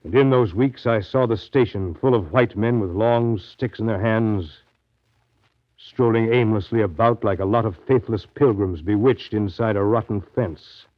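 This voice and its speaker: An actor playing a riverboat captain recounting a grim journey in brooding, deliberate 1930s radio drama narration. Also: male voice, fairly low pitch, close to an everyday voice. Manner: brooding, deliberate, literary storytelling. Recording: dull, lo-fi 1930s radio recording.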